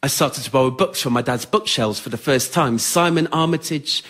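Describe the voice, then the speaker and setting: A young man with an English accent performs scripted autobiographical stories on stage in a wry, understated, measured way. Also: medium pitch, a bit bright. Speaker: writer-performer of a solo spoken-word show. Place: radio theatre in front of a live audience.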